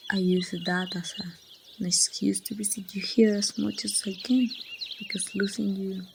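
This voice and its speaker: A grieving female survivor , acted by a woman with a Mexican accent, confides in someone she lost in a hushed ASMR roleplay. Her delivery is slow and flat, with gaps between phrases and a creaky edge. She is heard over faint noise and birdsong. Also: lowish voice. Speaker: amateur voice actor.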